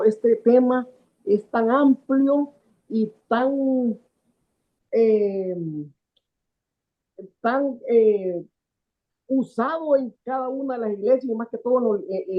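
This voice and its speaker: Slow, reflective man from Houston. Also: extremely high pitch, phone-quality sound. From Houston, United States. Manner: slow, searching, reflective storytelling. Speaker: church leader in the class.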